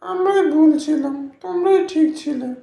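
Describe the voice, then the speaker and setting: A woman's voice: very high-pitched, normal speed, gravelly, dark tone, roomy sound, exaggerated voice. A woman voicing a dismissive older village woman in a cartoon. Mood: humbled. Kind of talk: cartoon voice acting.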